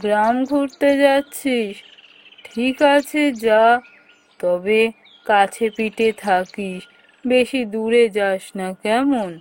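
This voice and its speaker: A woman voicing a caring, motherly aunt in an animated ghost story, unhurried and gently cautioning. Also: on the high side.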